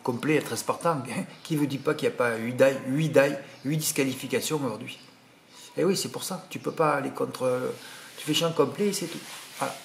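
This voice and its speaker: A man's voice: medium pitch, creaky, a little hiss or hum. Indignant French man with a regional accent. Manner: indignant, rambling, full of "hein" and "voilà". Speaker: horse racing tipster. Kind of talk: casual monologue to subscribers.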